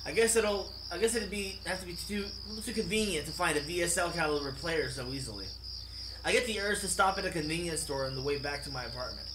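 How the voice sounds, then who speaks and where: A man hosting a let's play, reading a visual novel aloud as expressive narration and occasionally rereading a line. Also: a little high, background noise. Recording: echoey room, music behind. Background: game music.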